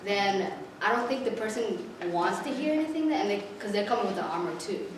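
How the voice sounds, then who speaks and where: A woman's voice: mid-range voice, expressive, fairly quick. A woman from southern Somalia speaking in a group discussion, emphatic and often checking with right?. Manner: emphatic, keeps asking right?. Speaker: workshop participant. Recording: echoey room, from far off, noisy.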